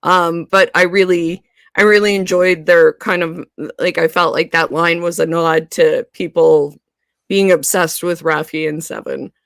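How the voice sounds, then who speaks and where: A woman co-hosting a podcast, chatting casually about a TV series, animated and laughing often. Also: fairly low pitch, measured pace, gravelly, dry recording, clean studio sound. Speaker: podcast co-host. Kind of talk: casual fan discussion.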